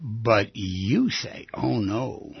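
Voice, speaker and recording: Husky-voiced male radio host. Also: fairly low pitch, expressive, drawn-out words, dry recording. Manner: probing, leading the guest with questions. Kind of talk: radio interview. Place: radio studio. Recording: dull lo-fi radio studio audio.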